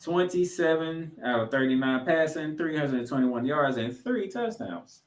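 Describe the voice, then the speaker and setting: A man co-hosting a sports podcast, recapping football and reading stats with emphatic, drawn-out key figures. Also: a little high, expressive. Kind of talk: football recap commentary with stat read-outs. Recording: echoey, roomy sound.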